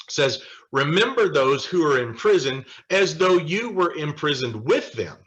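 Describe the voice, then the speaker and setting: Male Bible study leader, American, very bright forceful voice, conversational and halting delivery with emphatic asides. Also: a little high, lo-fi sound. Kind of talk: informal teaching with quoted scripture and scholars.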